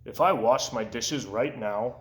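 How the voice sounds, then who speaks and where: A man's voice: mid-range voice, measured pace, pitched below the performer's own voice, lightly acted. A man voicing a grumpy, gloomy toad in a children's storybook, grumbling and sulky, in a roomy echo. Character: grumpy, gloomy toad who puts off chores. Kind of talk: children's storybook read-aloud.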